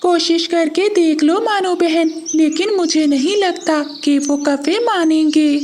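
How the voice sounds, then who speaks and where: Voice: very high-pitched, unhurried, a little mellow, slight background noise. A performer voicing a small, earnest, worried male bird in a children's cartoon story. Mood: worried, doubtful. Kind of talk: animated children's moral story dialogue.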